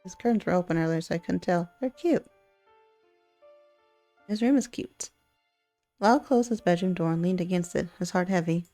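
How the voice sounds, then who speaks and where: A woman's voice: fairly low pitch, normal speed, pauses often, mellow. A woman hosting a let's play, reading a visual novel aloud and reacting casually. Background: faint music.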